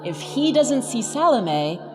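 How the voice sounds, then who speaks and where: A woman giving a scripted lecture narration in a measured, articulate, emphatic manner. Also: a little high, big pitch swings, big-room sound, over music.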